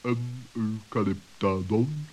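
A man playing a grave, suspicious but caring owl in a children's radio play, speaking in a stern, deliberate manner. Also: lowish voice, darkish tone, faint music behind. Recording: dull old radio recording.

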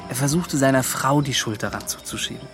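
An actor playing an eager, impulsive young adventurer in a German radio play, earnest and excitable. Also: male voice, medium pitch, brisk, over music, lightly acted. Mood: indignant recounting.

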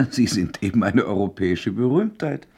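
An actor playing a worldly gentleman friend, a man with a husky voice and an urbane, admonishing manner in a radio play. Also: lowish voice, animated, normal speed, heavily acted. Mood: genial, teasing. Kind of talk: German radio play dialogue.

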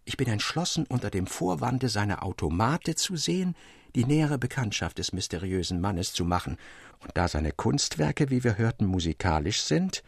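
Man reading as an earnest, troubled gentleman, creaky. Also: lowish voice, sing-song, normal speed, no room sound, clean studio sound, heavily acted. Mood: troubled, resolute.

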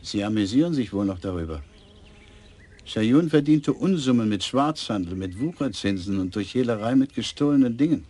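An officious tax official. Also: male voice, fairly low pitch, animated, normal speed, warm tone. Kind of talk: radio drama acting.

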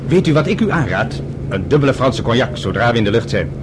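Noisy old radio drama: male actor as an older gentleman doctor, polite, smooth-talking, formally solicitous, medium pitch. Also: sing-song, quick pace, natural voice. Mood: affable, attentive. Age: middle-aged or older. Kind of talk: Dutch radio drama acting. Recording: old radio drama with clear background noise.

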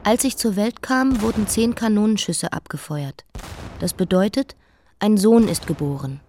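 A woman's voice: medium pitch, moderate pace, background noise, close to an everyday voice. An actress playing a defiant young queen who was raised as a boy, narrating her life in a radio play monologue. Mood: matter-of-fact.

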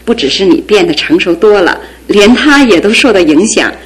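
A woman's voice: mid-range voice, expressive, normal speed, close to an everyday voice, rough recording. An actress playing a caring middle-aged mother who fusses over her grown son in a radio drama. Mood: doting. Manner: doting, fussing, affectionate.

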